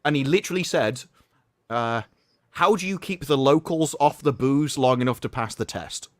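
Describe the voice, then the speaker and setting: A man's voice: mid-range voice, normal speed, no room sound, studio quality. A man with a British accent in casual livestream chat, teasing and explaining things to his co-hosts. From United Kingdom. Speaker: livestream co-host.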